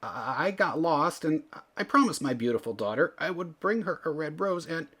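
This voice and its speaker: Frightened old storybook father voiced by a male reader: raised pitch, stammering, pleading, very animated. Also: moderate pace, slightly rough. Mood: terrified, pleading. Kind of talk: storybook character voice.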